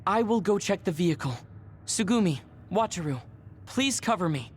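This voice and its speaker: An actress playing a composed, analytical soldier in an anime-style video game, calm and formal. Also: female voice, lowish voice, moderate pace, a little hiss or hum, close to an everyday voice.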